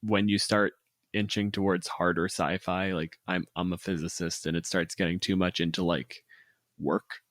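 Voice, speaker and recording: A man with a fairly low voice talks casually in a very dry recording. He explains and argues his opinions like a podcast host among friends, cursing freely as he goes. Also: steady tone, moderate pace, a bit bright, dry recording. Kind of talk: casual chat.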